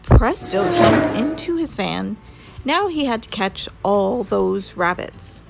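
A woman reading a children's story aloud as an expressive storyteller. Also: a little high, slow pace, vocal fry, music in the background, poor audio.

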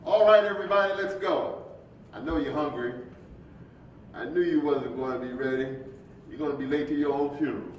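A man plays a jovial, impatient preacher friend in a stage play, chiding in a folksy way. The voice is fairly high. The sound is dull and very echoey. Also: a little fast, long pauses, close to an everyday voice. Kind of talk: staged play reading, conversational dialogue. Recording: dull, echoey, distant hall with rumble.

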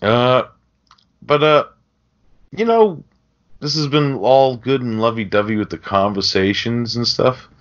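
A man's voice: medium pitch, a little slow, long pauses. A man co-hosting a podcast, chatting casually about films in an informal, sweary way. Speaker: podcast co-host. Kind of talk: casual film chat. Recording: dull, lo-fi sound.

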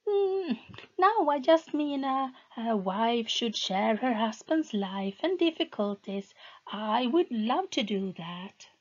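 A woman's voice: a little high, very animated, slow pace, voice pushed up, full character voice. A woman doing a timid, eager young wife. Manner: hesitant, apologetic. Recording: dull lo-fi recording.

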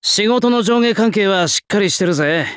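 A young male swordsmith from an anime-style game, proud of his craft and plain-spoken. His voice sits a little high and swings widely in pitch. He talks in rough, old-fashioned workman's phrases, recorded dry with no room sound. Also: expressive, normal speed, fairly bright tone, no echo. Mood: confident, casual. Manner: rough, blunt, old-fashioned working-class turns of phrase. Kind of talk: anime-style mobile game character lines.

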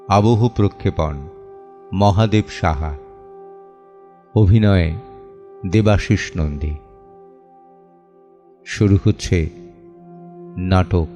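Very slow, low-pitched male announcer. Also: dark tone, muffled recording. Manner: measured, with deliberate pauses. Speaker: announcer of audio play credits. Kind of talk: formal scripted announcement.

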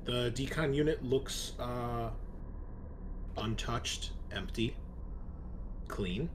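A man narrating a tabletop roleplaying game as game master, speaking casually and haltingly with pauses to think. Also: medium pitch. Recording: clear background noise.

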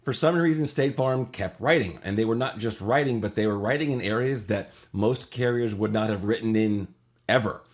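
A man's voice: fairly low pitch, moderate pace. A man speaking as an insurance broker over a video call from a home office, explaining frankly and with emphasis. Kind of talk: interview answers.

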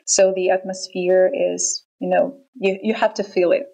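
A woman with a Russian accent, answering interview questions in a measured way, pausing often with ums. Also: on the low side, little pitch movement, creaky, warm tone, rough recording. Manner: measured, hesitant with frequent ums. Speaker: band member interviewed.

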